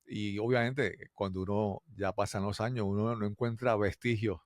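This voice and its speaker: A man's voice: fairly low pitch, fairly even intonation, normal speed, no room sound, studio quality. A man hosting a conversational interview, rambling a little and repeating his words as he goes. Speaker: interviewer and host.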